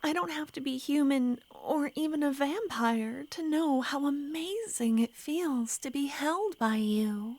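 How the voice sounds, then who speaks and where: A woman voicing an ancient, lovesick female vampire who pleads softly and slips into whispers, in an ASMR roleplay. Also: high-pitched, no echo. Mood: tender. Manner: soft, pleading, drifting into whispers.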